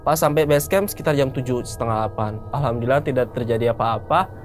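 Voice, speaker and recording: A man's voice: medium pitch, fast, faint music behind. A man of about thirty, casually recounting a personal story in informal, colloquial speech. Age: around 30. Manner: informal, colloquial, acts out bits of dialogue. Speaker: storyteller, guest recounting a mountain hike.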